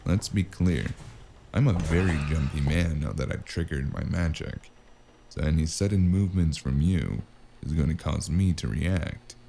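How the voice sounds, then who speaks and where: Male actor as hardboiled noir detective, low-pitched, dark mellow tone, sardonic and menacing, gravelly, audio drama. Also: normal speed, slight background noise. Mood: dry menace. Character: cynical, wisecracking hardboiled spacer investigator with magic. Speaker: voice actor playing the lead and narrator.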